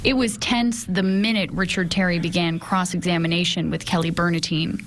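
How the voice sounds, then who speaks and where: A woman's voice: lowish voice, fairly even intonation, normal speed. Woman reporting TV news, faint noise behind. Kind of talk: scripted news report narration.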